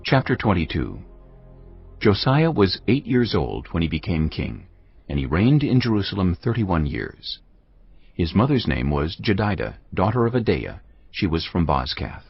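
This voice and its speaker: A man narrating a dramatised scripture reading in a measured, solemn storytelling manner. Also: low voice, very animated, gravelly, husky, dry recording. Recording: slightly dull audio with music bed.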